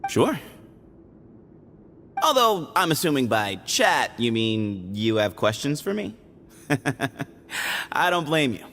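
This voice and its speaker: A man's voice: mid-range voice, very animated, slow, pauses often, sharp tone, a little hiss or hum, exaggerated voice. A man playing a sly, easygoing young desert dweller in a video game, breezy, teasing and glib. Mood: amused. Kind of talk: video game character acting.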